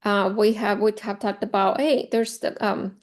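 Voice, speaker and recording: A woman's voice: medium pitch, fairly even intonation, moderate pace, a little mellow. A woman in her forties leads an informal training session for teachers. She is a native Spanish speaker with a Spanish accent. Her mid-range voice is conversational, and she repeats phrases to drive a point home. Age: 40s. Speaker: teacher trainer leading a professional development session.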